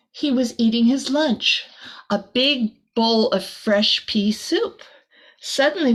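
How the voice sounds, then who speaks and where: A woman reading a picture book aloud to children like a teacher, expressively, with soft chatty asides. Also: a little high.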